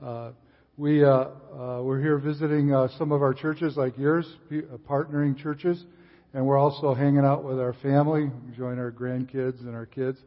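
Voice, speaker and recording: An older man, a visiting missionary speaker giving a sermon in a church, relaxed, with frequent fillers and restarts. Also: mid-range voice, normal speed. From Chicago area, USA. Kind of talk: sermon with casual asides. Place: church sanctuary. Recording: distant hall reverb, dull sound.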